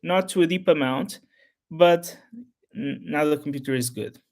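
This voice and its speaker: Halting man, Portuguese native speaker. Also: fairly high pitch, a little mellow, a little hiss or hum. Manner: halting, rambling, frequent restarts. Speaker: history YouTuber. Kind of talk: unscripted solo talk to camera.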